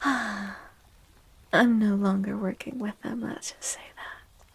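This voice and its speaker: Slow, hushed, husky woman doing soft-spoken ASMR. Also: medium pitch, steady tone, dry recording. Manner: hushed, often slipping into near-whisper. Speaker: ASMR streamer, tarot reader.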